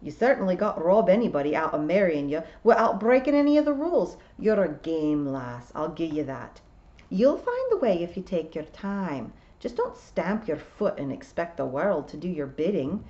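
A prickly, ancient little pixie man from a storybook, acted by a woman. He is proud and scolding, leaning hard on dialect words with big swings of pitch. The sound is slightly dull. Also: very animated, moderate pace, warm tone. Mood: gruffly kind. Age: nineties. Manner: scolding, dialect-laden, emphatic. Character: proud, prickly ninety-six-year-old male pixie piper.

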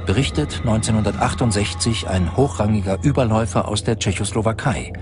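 Low-voiced man narrating over background music. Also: brisk. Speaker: documentary narrator. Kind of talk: scripted documentary narration.

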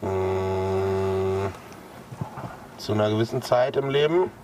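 A man competing in a quiz from the back seat of a car, hesitant with fillers and sometimes cheering loudly. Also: sits low, slow. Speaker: quiz contestant. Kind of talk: casual excited chat. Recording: loud car engine noise.

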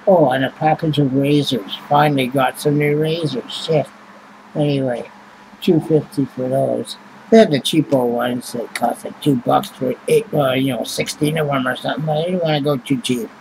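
A man's voice: medium pitch, steady tone, normal speed, vocal fry, noisy background. A man, rambling and swearing casually as he reads prices off a receipt into a webcam at home. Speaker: vlogger. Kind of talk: casual chat. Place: small home room indoors.

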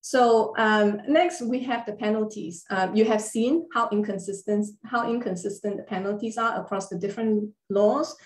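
A woman lectures over a video call, at a middling pitch and a measured pace, explaining legal points step by step. Her voice carries a roomy echo from a small room. She pauses now and then with small fillers as she reads through her slides. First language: not Thai.

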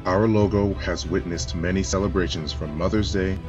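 A man's voice: low voice, steady tone, moderate pace, lo-fi sound, over music. A man narrating a solemn, scripted church tribute video in a ceremonial manner. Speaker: church spokesman.

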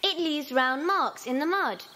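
A woman's voice: sits high, lively intonation, a little slow, bright, forceful tone, full character voice. An actress playing a stubborn, argumentative young girl. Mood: insistent.

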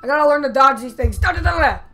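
A young woman giving excited gameplay commentary with a strained, worn voice, yelping and laughing at the action. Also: high voice, fast talker, creaky. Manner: yelps and shrieks at the action, laughs a lot. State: strained, losing her voice. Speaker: let's play gamer. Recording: faint game music behind.

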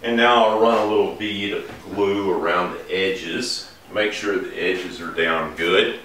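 A middle-aged man explaining woodworking steps in a relaxed, casual way while he works in a large indoor workshop. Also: low voice, measured pace, a little hiss or hum. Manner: relaxed, explains each step while working. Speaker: woodworking instructor. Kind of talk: casual demonstration while working. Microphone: camera mic from a distance. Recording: echoey workshop, distant mic.

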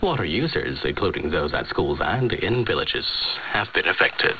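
A man reads a scripted news bulletin in a fairly low, lively voice. It is a shortwave radio broadcast with faint noise behind him. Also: moderate pace.

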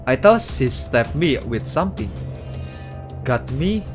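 A man streaming as a VTuber, reading a visual novel aloud and reacting live in an excitable, dramatic way. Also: medium pitch, takes it slowly, over music.

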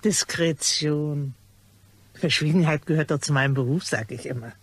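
A nosy, chatty cleaning lady in a radio crime drama. Her voice is rough and husky. She rambles on in a gossipy, exclamatory way while claiming to be discreet. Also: low voice, moderate pace, dry recording. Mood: confidential, gossipy.

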